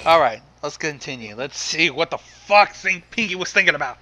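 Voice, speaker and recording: A man's voice: on the high side, expressive, moderate pace, noisy background. A man giving casual, exasperated, sweary reactions to a story reading. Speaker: commentator on a fan fiction reading.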